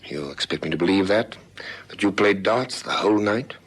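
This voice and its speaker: An actor playing a dogged, sceptical police lieutenant with a South African accent and a brisk, dry, questioning manner in a vintage radio drama. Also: male voice, low-pitched, fairly bright tone, breathy edge. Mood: sceptical, probing.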